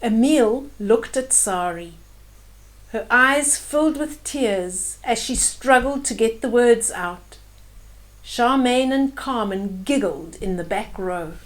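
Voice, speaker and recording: An older woman reading a children's bedtime story aloud, adding gentle, simple asides about the pictures for young listeners. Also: a little high, animated, slow, rough recording.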